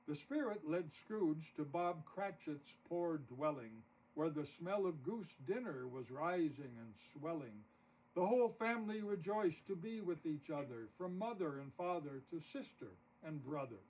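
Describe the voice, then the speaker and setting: A man narrating a rhymed story poem expressively, with dramatic, deliberate phrasing. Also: a little high, expressive, a little mellow. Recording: distant mic with audible noise.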